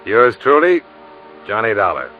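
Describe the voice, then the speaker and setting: A man's voice: fairly low pitch, sing-song, a little slow, narrow, tinny audio. A man playing a cynical American insurance investigator, narrating in a dry, clipped radio noir style. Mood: dry, bitter. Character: cynical, world-weary hardboiled insurance investigator. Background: music.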